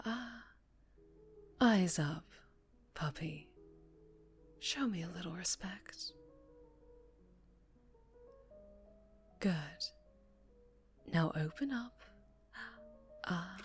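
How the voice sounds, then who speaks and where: Woman acting a slow, low-voiced, menacing female mafia boss. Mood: teasing, dominant. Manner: slow, commanding, condescending, often dropping to breathy murmurs. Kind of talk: ASMR roleplay acting.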